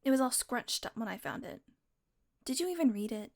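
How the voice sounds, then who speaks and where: A woman's voice: on the high side, expressive, a little fast, gravelly. A woman voicing a playful, predatory female vampire who teases and mocks, sometimes dropping to a whisper. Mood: teasing. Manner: playful, mocking, drifts into whispers. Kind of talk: audio roleplay.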